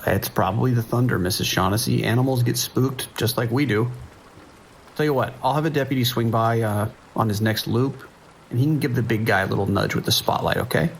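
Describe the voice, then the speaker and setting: A man playing a calm, wry sheriff's dispatcher with a dry, reassuring manner in an audio drama. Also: medium pitch, a little fast, vocal fry, slight background noise, natural voice, poor audio. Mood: patient, reassuring. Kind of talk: naturalistic audio drama acting.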